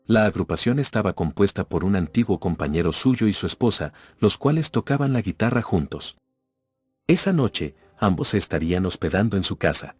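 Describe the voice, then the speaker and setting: A man narrating scripted horror stories in a measured, ominous storytelling manner. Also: low voice, animated, a little mellow, breathy edge, no room sound. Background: background music.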